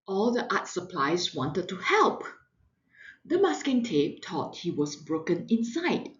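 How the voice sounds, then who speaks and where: A woman narrates a picture book at a very unhurried pace, with huge swings in pitch. She leans into words dramatically, in the expressive way of someone reading to a small child. The recording is a little dull and lo-fi. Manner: expressive, deliberate, dramatic emphasis. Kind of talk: animated children's storybook narration.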